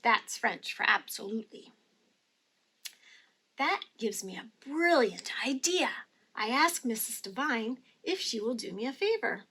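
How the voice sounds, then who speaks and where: High-voiced woman reading a children's story. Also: big pitch swings, a little slow, pushed and bright, slightly rough. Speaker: storybook reader. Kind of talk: expressive children's story read-aloud.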